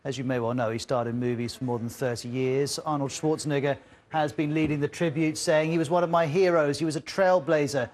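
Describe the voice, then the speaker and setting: A man presents broadcast news. His voice sits a little higher than most men's, and he reads in a formal newsroom style. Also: flat delivery, brisk, a little mellow, a little hiss or hum.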